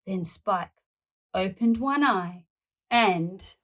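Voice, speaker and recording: A woman storyteller reading a children's picture book aloud in an animated narrating style. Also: medium pitch, very slow.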